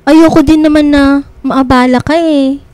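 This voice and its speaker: A woman playing a sweet, playful young woman with a Filipino accent in a radio drama, girlish and quick to protest. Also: high voice, steady tone, darkish tone, no room sound. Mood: apologetic, defensive. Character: sweet, bantering, inexperienced young woman in love. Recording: dry studio with music bed.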